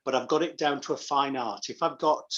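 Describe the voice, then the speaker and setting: A man's voice: mid-range voice, brisk, fairly bright tone, lo-fi sound. An English man chatting casually and telling anecdotes as an interview guest, as heard over an online call. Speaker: pantomime dame performer, interview guest. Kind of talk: casual chat.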